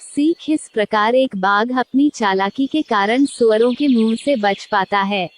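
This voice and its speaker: A woman's voice: on the high side, measured pace. A woman presenting children's stories in scripted narration. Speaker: presenter of story title and moral.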